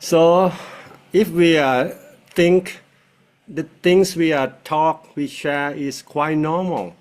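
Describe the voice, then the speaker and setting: Thai man, halting English with many fillers. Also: fairly high pitch, fairly even intonation. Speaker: host. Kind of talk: casual conversation practice.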